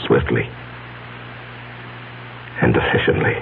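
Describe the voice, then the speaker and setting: An actor playing a weary, grieving condemned man who speaks in a halting, resigned way in a radio drama. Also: lightly acted. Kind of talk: 1970s radio drama acting. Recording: dull noisy old radio recording with hum.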